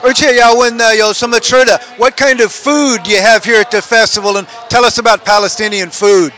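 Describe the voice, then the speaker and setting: A man interviewing people at an outdoor festival, asking questions haltingly and switching between two languages. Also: high voice, steady tone, bright, forceful tone, lo-fi sound, some chatter behind. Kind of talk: bilingual street interview.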